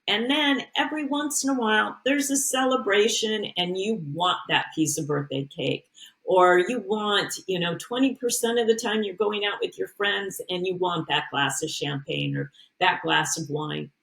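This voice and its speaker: A woman's voice: mid-range voice, moderate pace, vocal fry, fairly bright tone, low-quality recording. A woman health coach, a guest on an interview show, giving earnest and emphatic advice in a casual way with frequent restarts.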